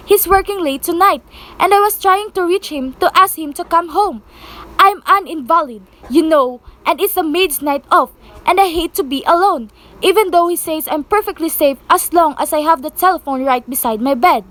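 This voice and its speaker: A woman's voice: extremely high pitch, moderate pace, fairly bright tone. A young woman playing an anxious, demanding invalid wife in an amateur radio play, fretful and insistent. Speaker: student performer in a school radio play.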